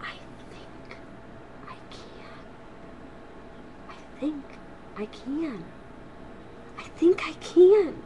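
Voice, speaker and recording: A woman's voice: sits high, expressive, drawn-out words, pauses often, whispered, pitched above the performer's own voice, full character voice, low-quality recording. Woman voicing a small, kind, determined little engine. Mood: whispered resolve. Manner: earnest, gentle, chanting with growing resolve. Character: small kind-hearted female blue engine, modest but determined.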